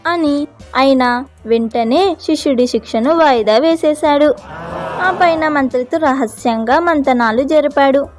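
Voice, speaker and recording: A woman's voice: high voice, a little slow, a little mellow, soft background music. A woman narrating a scripted children's tale as a storyteller.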